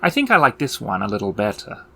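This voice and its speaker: Man acting out unhinged elf murder clown, low-pitched, gravelly, theatrical, mocking, tabletop game villain. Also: wildly swooping pitch, bright, forceful tone, slight background noise, heavily acted. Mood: mocking, menacing. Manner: theatrical, slow taunting delivery with sudden outbursts.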